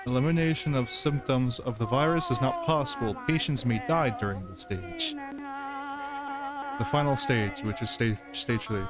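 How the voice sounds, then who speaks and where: A man doing casual reaction commentary and reading aloud, halting as he goes and repeating himself over stumbles. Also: mid-range voice, a little hiss or hum. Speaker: reaction video host.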